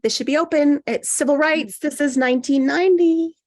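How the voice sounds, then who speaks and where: A woman's voice: sits high, brisk, darkish tone, no echo, close to an everyday voice. A woman in a comedy table read playing a drugged, loopy airline passenger with a woozy, rambling drawl. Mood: loopy, defiant.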